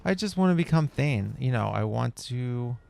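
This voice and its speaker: A man's voice: medium pitch, animated, moderate pace, gravelly, darkish tone. A man hosting a gameplay video, giving casual, rambling, laid-back commentary in character.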